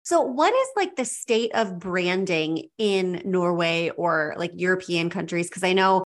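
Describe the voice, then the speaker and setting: A woman's voice: lowish voice, lively intonation, unhurried. A woman hosting an interview podcast over a video call, enthusiastic and affirming. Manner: enthusiastic, affirming, repeats words. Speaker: podcast host. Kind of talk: casual interview chat.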